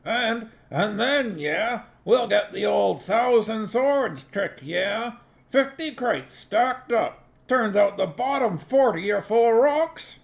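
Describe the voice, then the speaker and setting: Nobby, a gravelly, high-pitched blusterer. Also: male voice, animated, slow pace, full character voice. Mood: brash, pushy. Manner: blustering, pushy, bossing people about. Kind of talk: audiobook character voice.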